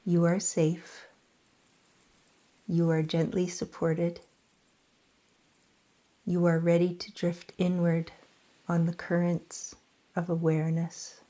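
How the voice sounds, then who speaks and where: A woman guiding a yoga nidra meditation in a slow, soft, soothing voice with long pauses. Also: on the low side, completely flat delivery. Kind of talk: guided meditation.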